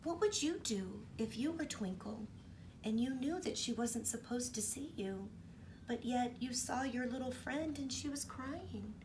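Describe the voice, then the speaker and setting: Slow, lively woman reading a storybook. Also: fairly high pitch, far from the mic, background noise. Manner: expressive, asks the children questions. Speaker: teacher reading to children. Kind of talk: storybook read-aloud for kids.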